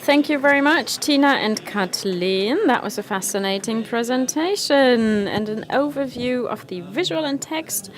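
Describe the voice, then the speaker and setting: Polite conference moderator. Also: female voice, fairly high pitch, expressive, a little slow, hardly any pauses. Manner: polite, formal, invites questions. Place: conference hall stage.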